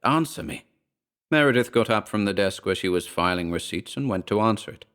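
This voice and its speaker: Low-voiced male horror story narrator. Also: quick pace, a bit bright, clean tone.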